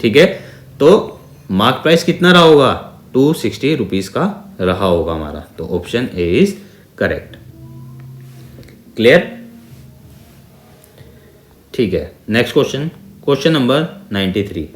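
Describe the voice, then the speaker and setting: A man's voice: mid-range voice, very animated, a little slow, long pauses, a little hiss or hum. An Indian man teaching an exam-prep maths lesson step by step in a didactic way, mixing Hindi with English terms and often asking check-in questions. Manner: didactic, with frequent check-in questions and repeated phrases. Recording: echoey, reverberant room sound.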